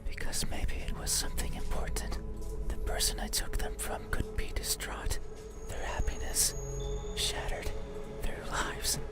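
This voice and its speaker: A man voicing a whispering, lonely creature that slowly grows, speaking in a breathy, soft, unsettling murmur. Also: close to an everyday voice. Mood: creepy whisper. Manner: slow, soft, unsettling, intimate. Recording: whisper over loud game music.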